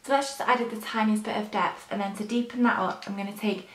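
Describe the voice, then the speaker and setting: A young woman with a slightly hoarse morning voice, chatting casually through her makeup routine as a beauty vlogger. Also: mid-range voice, fairly even intonation, brisk, fairly bright tone, echoey room, low-quality recording. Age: young adult. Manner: chatty, rambling, frequent ums. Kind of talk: casual chat while applying makeup.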